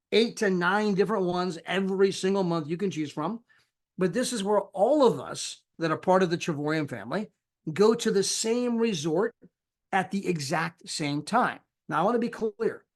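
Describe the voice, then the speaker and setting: High-voiced man giving a lively sales pitch. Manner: animated, acts out quoted people with big pitch jumps; casual, folksy phrasing. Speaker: sales presenter. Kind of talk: energetic sales pitch on a live call.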